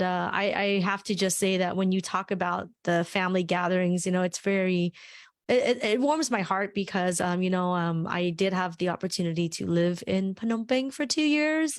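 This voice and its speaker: A woman's voice: on the low side, dry recording, clean studio sound. A woman hosting a podcast interview over a call, friendly and chatty, with many fillers and restarts. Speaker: podcast host. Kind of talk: casual interview conversation.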